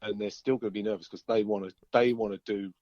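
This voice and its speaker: A man chatting informally as an interviewed musician, halting and circling back over his phrases. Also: on the low side, steady tone, a little mellow, no room sound, muffled recording. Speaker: band singer-guitarist being interviewed. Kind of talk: casual interview chat.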